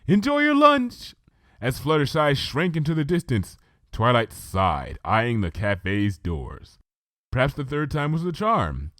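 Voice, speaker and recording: A man reading a story aloud as an expressive scripted narration. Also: fairly low pitch, no echo, studio quality. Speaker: fan fiction reader.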